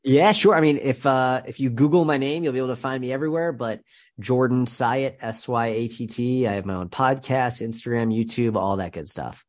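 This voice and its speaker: Nasal man with a head cold. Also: medium pitch. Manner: fast, chatty, with many restarts. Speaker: podcast guest, strength and nutrition coach. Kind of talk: casual interview chat.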